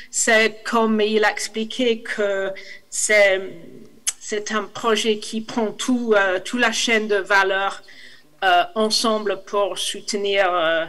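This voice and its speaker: A woman's voice: mid-range voice, a bit bright, rough recording. A woman with an English accent presenting in French as a consultant, hesitant with many fillers and restarts, on a video call. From England. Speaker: international consultant. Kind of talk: online conference presentation.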